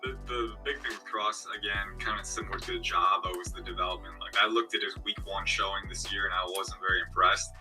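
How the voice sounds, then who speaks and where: A man's voice: sits low, steady tone, brisk, few pauses, music in the background, low-quality recording. A man speaking as a guest analyst in a casual chat, confident and analytical, his throat a bit parched. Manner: confident, analytical, explains in long runs.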